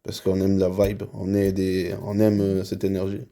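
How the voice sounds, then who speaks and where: Sex: man. Origin: Dominican Republic. Role: hospital head interviewing. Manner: slow, measured questions. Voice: very low, gravelly, flat and dark. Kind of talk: in-character job interview.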